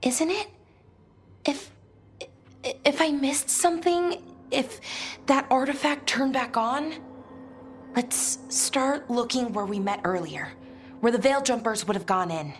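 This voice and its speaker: A young elf woman adventurer in a fantasy game, high-voiced and slow to get her words out. She is earnest and anxious, hesitating and repeating herself, with music clearly playing behind her. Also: lively intonation. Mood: wary, hesitant. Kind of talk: video game voice acting.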